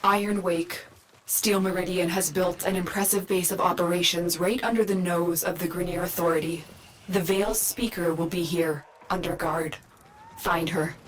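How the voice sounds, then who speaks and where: A woman's voice: on the low side, steady tone, normal speed, natural voice, poor audio. A woman playing a stern, watchful mission commander in a video game, composed and serious. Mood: guarded, resolute. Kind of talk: scripted video game dialogue.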